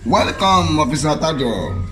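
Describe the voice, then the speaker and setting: Mocking nigerian henchman in a radio play, slow drawn out, pitch all over, music under. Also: expressive, sharp tone. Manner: mocking, theatrical. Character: scornful, excitable male crony of a corrupt chief. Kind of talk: radio drama acting.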